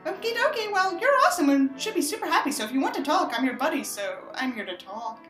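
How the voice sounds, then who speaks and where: A woman plays an eager, supportive teenage best friend, a boy with a chirpy, high voice that gushes reassurance. It is storybook narration in a roomy space, with music underneath. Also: very animated, fast talker, fairly bright tone. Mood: reassuring.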